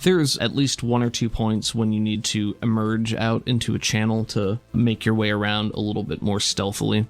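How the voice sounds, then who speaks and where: A man's voice: lowish voice, little pitch movement, moderate pace, few pauses, soft background music. A man narrating and explaining rules as game master of a tabletop roleplaying game.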